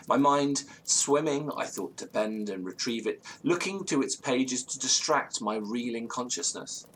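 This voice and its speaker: An actor playing an anxious, genteel British gentleman who recounts a ghost story in formal, ornate, theatrical phrases. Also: fairly low pitch, slight background noise, low-quality recording. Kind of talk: audio drama storytelling, period ghost tale.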